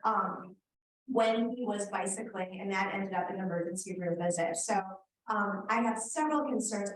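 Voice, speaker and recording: A woman candidate speaks earnestly and rambles a bit, correcting herself as she goes. She stands well back from the microphone. The hall is very echoey, with a faint murmur behind her. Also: medium pitch. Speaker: election candidate. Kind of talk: public forum speech. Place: community meeting room. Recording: echoey hall, distant mic, faint hum.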